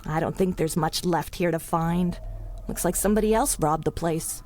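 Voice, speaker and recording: An actress playing a wry, loyal, worried woman in a 1930s-style adventure radio drama, with slangy, expressive delivery. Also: on the low side, normal speed, slight background noise, close to an everyday voice. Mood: thoughtful.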